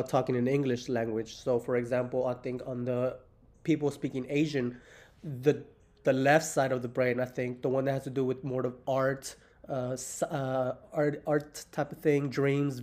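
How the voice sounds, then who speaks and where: A man in his thirties from the United States, hosting a podcast in relaxed, rambling casual chat and swearing casually. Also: medium pitch. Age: 30s. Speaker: podcast host. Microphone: remote call.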